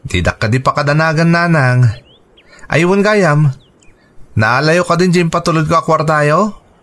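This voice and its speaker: An actor playing a dutiful, hardworking adult son in an Ilocano radio drama, respectful and reassuring, with a Filipino Ilocano accent. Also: male voice, fairly high pitch, unhurried, a bit bright.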